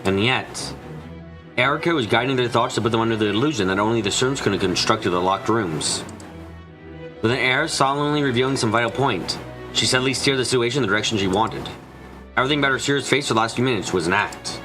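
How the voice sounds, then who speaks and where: A man streaming a visual novel, reading aloud and chatting in an animated, rambling way. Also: medium pitch, fast talker. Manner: animated, rambling, swears freely. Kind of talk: live game stream, casual chat and dramatic reading. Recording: music behind the voice. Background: game music.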